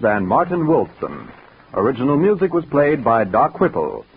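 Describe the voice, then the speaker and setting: A male announcer in classic 1940s radio style, with a fairly high voice. He reads his script with big, lively swings of pitch. The old recording is muffled. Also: a little hiss or hum. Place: radio studio.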